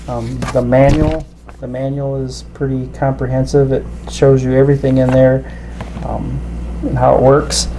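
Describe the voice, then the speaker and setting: A man giving a casual, halting, explanatory product demonstration as a reviewer. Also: mid-range voice, level tone, noisy background.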